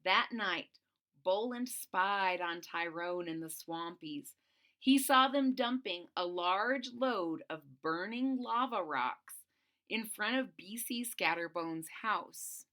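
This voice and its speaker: A woman's voice: medium pitch, expressive, slow pace, pushed and bright. A woman reading a children's storybook aloud expressively, with casual, informal asides that explain the story. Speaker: storybook reader.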